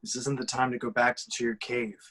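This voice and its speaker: A man playing an earnest, consoling superhero at a table read, gently persuasive, on a distant home mic. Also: mid-range voice, flat delivery, normal speed, close to an everyday voice. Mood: pleading.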